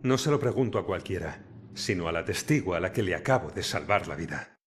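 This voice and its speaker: An actor with a Castilian Spanish accent playing a dogged, cocky murdered detective in a video game, wry and insistent. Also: male voice, low-pitched, lively intonation, brisk, background noise. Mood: pressing. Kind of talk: video game cutscene dubbing.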